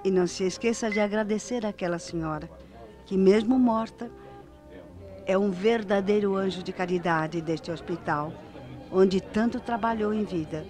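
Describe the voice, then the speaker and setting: An actress playing a caring, knowing hospital colleague in a Brazilian radio mystery drama. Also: female voice, mid-range voice, normal speed, mellow, faint music behind, natural voice. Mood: solemn advice.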